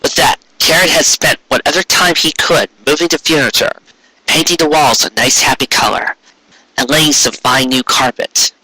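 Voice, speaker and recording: A man reading a story aloud as a dramatic narrator, with expressive emphasis and wry asides. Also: a little high, normal speed, breathy edge, dry recording, rough recording. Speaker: fan fiction reader.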